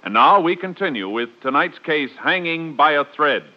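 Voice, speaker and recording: A man's voice: fairly high pitch, lively intonation, normal speed. A man announcing formally in the style of 1950s radio. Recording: muffled old radio recording.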